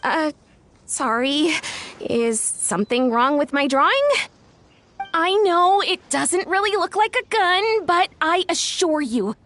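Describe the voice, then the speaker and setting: A woman voicing an earnest young event organizer in a video game, chatty, friendly and a little flustered. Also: high voice. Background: soft game music.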